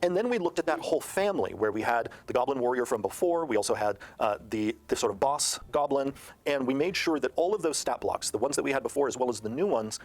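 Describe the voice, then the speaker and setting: Male, mid-range voice. Game designer in interview mode, detailed and emphatic, slightly halting. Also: medium pitch, expressive. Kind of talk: explanatory interview talk.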